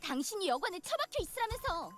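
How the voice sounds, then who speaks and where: A woman's voice: very high voice, sing-song, very fast, a bit bright, heavily acted. An actress playing a proud, stubborn, demanding young woman in a visual novel, haughty and snappish. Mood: defensive. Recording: game music behind the voice.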